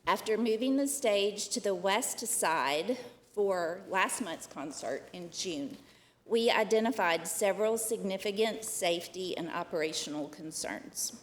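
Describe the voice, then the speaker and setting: A soft-spoken woman gives a formal, prepared request to a county committee. Her voice sits mid-range and carries a long hall reverb with faint room noise. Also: medium pitch, expressive, a little slow. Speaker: executive director of a downtown program.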